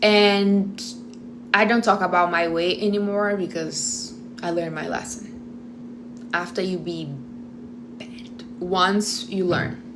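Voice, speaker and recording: A woman's voice: lowish voice, fairly even intonation, slow pace, long pauses, noisy background. A woman in her thirties from Brazil, with a strong Brazilian Portuguese accent and Portuguese as her first language, chatting casually to camera between bites of food and often restarting her sentences. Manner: chatty, talks while eating, restarts often. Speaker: vlogger.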